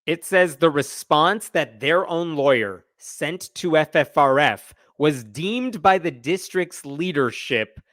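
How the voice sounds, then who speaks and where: A man with a slightly high voice delivers casual, opinionated commentary as a livestream host. He is conversational and emphatic with a sardonic edge, and the sound is fairly dry and close. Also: expressive, slow pace, few pauses, a bit bright, dry recording.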